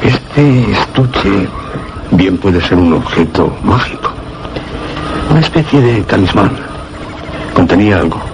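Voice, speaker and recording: An actor playing an erudite, grave scholar in a Spanish radio suspense drama, speaking Castilian Spanish in a measured, didactic way. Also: male voice, sits low, expressive, background noise, full character voice. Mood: scholarly, intrigued. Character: erudite male scholar friend, grave and explanatory.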